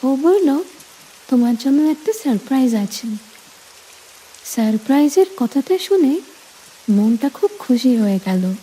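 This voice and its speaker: A woman narrating a scripted romantic story in the first person, deliberately, in short phrases. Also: sits high, lively intonation, warm tone, slightly rough, rough recording.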